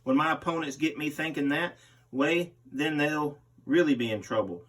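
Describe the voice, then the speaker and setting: A man's voice: on the high side, normal speed, fairly bright tone. A man reading a magazine interview aloud as a host, voicing the interviewee's part. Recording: distant microphone.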